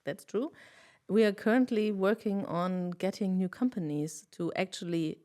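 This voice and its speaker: A woman's voice: medium pitch, moderate pace, mellow. A woman hosting a podcast, chatting casually with dry, wry asides. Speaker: podcast host. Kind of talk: casual interview chat.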